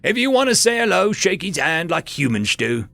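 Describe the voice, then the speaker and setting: A man's voice: sits high, big pitch swings, moderate pace, gravelly, a bit bright, dry recording, faint music behind, pitched above the performer's own voice, exaggerated voice. A man voicing a firm, blunt young orc girl with an orc accent in a storybook reading. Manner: firm and bossy. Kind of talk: storybook narration.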